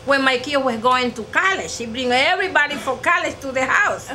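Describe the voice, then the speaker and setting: A woman's voice: sits high, fairly quick, sharp tone. A middle-aged woman with an Ecuadorian Spanish accent, telling her own story in an interview. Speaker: restaurant owner interviewee. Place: indoor restaurant dining room. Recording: clearly noisy room ambience.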